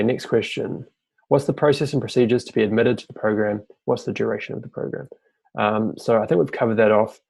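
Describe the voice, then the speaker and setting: A man hosts a live online Q&A. He reads each audience question aloud, then answers in a loose, conversational way. His voice is low, mellow and fry-tinged, close and dry on a computer mic. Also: dry recording, rough recording. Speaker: fellowship team member hosting a webinar Q&A.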